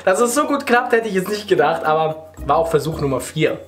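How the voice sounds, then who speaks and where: Lively young man hosting a prank video. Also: on the high side, brisk. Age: 20s. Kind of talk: casual talk to camera. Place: small living room, indoors.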